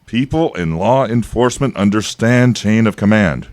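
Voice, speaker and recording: An actor in a radio drama playing an evasive, persuasive government agent, smooth-talking and patronizing, with hesitations. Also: male voice, lowish voice, expressive, moderate pace, darkish tone, no room sound, close to an everyday voice. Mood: insistent, smug.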